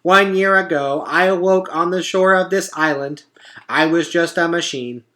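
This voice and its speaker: A perky storybook robot lady, played by a male reader, polite and cheerful. The voice sits high and rings out brightly, delivering formal announcements in a friendly, orderly way. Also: moderate pace. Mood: grateful, festive. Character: cheerful, polite female robot addressing her animal friends.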